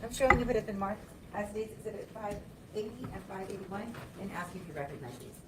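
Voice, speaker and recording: A woman's voice: medium pitch, fast, roomy sound. A woman attorney questioning a witness formally in a courtroom. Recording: noisy distant courtroom audio.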